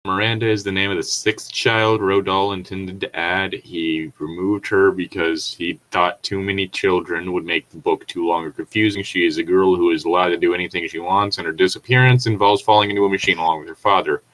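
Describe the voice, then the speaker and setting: A man's voice: low-pitched, steady tone, brisk, hardly any pauses, fairly bright tone, poor audio. A man giving casual commentary and reading aloud as a co-host, in a rambling, incredulous, sweary manner. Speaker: co-host reading and reacting to online horror stories.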